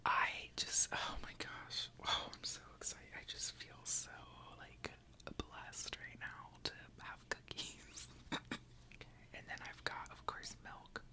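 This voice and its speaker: Giggly whispering woman, close mic. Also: takes it slowly. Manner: soft whisper, giggly and chatty. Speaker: ASMR creator. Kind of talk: whispered ASMR chat. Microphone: close microphone.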